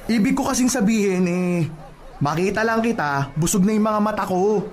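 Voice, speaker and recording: An actor playing a cheeky, smooth-talking plumber who flirts with corny lines in a comedic radio drama, a man with a teasing manner. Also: high-pitched, animated, normal speed, darkish tone, a little hiss or hum. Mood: playful, flirty. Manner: teasing, chuckling, corny pick-up delivery.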